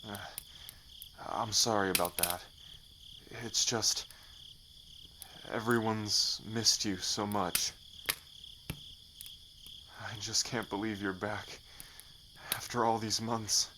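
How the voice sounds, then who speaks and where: A man's voice: lowish voice, fairly even intonation, takes it slowly, pauses often, background noise. A man playing a loyal, hot-headed rebel in an ASMR roleplay, protective, vengeful, earnest and emphatic in delivery. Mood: relieved, earnest.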